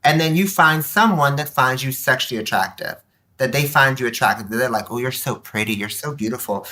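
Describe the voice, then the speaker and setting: Woman podcast guest, really bright forceful voice, low pitched, candid n animated storytelling. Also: fairly quick. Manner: animated, emphatic, acts out quoted remarks. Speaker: podcast guest, nonprofit board member. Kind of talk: candid personal storytelling in conversation.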